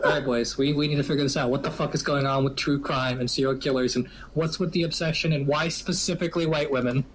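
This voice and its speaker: A man in his twenties co-hosting a podcast in casual chat, ranting with animated profanity and repeating phrases for emphasis. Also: a little high, quick pace, noisy background. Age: 20s. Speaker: podcast co-host.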